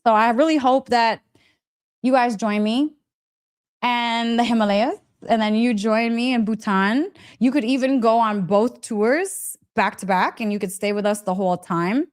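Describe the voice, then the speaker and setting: A woman with a fairly high voice talks as a spiritual teacher on a casual live stream. She is gushing and emphatic, often repeating phrases for weight. She speaks straight to her listeners, checking in with little questions as she goes. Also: unhurried. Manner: chatty, direct address with frequent check-in questions. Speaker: host of a live story session, spiritual teacher.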